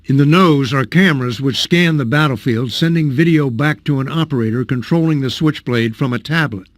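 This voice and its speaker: Male news correspondent, mid-pitch, crisp probing delivery. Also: brisk, dry recording. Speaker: television correspondent. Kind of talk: news report narration and interview questions.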